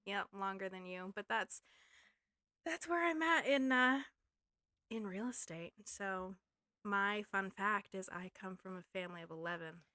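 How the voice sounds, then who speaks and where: A woman's voice: medium pitch, measured pace, bright, forceful tone, no echo, studio quality. A woman in her thirties from Maine with a slight Maine accent, co-hosting a podcast in a chatty, filler-heavy way. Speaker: podcast co-host, real estate agent. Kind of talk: casual chat.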